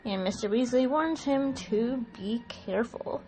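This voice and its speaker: A woman's voice: fairly high pitch, measured pace, a little mellow, low-quality recording. A woman hosting, giving an introduction and recap for an audio production. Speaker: host and co-director introducing the production. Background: music.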